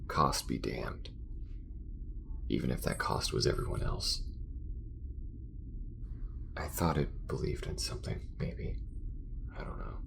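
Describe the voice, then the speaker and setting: A man voicing a gruff, guarded alien crewmate with a very deep, gravelly, halting mutter in an intimate ASMR roleplay. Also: exaggerated voice. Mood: weary, disillusioned.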